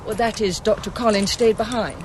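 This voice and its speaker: An actress playing a brisk, guarded gallery manager in a radio drama, clipped and formal. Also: female voice, mid-range voice, fairly even intonation, background noise, lightly acted. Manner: clipped, formal and faintly defensive.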